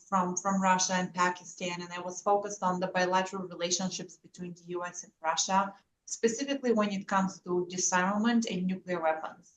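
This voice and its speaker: Roomy, distant-sounding woman guest. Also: mid-range voice. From Bulgaria. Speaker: interview guest, security expert and author. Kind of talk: earnest account of own background. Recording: roomy, distant mic with faint noise.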